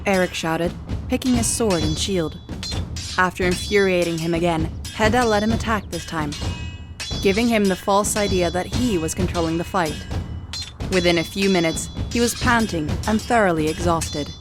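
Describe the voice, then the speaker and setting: A woman's voice: on the low side, moderate pace. A woman narrating a scripted dramatic story in an audio series. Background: music.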